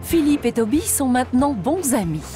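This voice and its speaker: Lively woman storybook narrator. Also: high-pitched, normal speed. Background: music.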